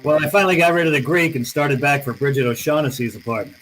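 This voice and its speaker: An actor playing a wry, tough, unflappable private detective, laconic and sardonic, in old-time radio style. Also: male voice, on the high side, quick pace, a bit bright, faint music behind, natural voice, low-quality recording. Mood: dry, narrating. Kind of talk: old-time radio drama acting and first-person narration.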